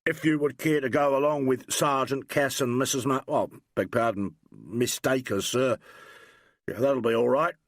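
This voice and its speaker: A man's voice: medium pitch, unhurried, no echo, studio quality. A man voicing a dutiful, deferential male police officer in a novel, formal and stolid.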